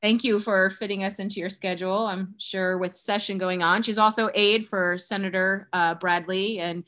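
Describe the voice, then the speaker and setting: A woman co-hosting a podcast, asking rambling, halting questions in a casual interview. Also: medium pitch, fairly even intonation, a bit bright. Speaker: podcast co-host.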